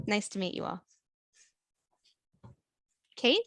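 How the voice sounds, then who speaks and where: A woman giving a calm, cordial introduction over a video call. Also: slow. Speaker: workshop co-lead.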